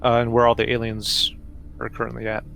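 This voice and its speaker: Mid-pitched man chatting as a game player. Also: completely flat delivery, a little fast, gravelly, fairly bright tone, soft background music, rough recording. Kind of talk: casual game chat.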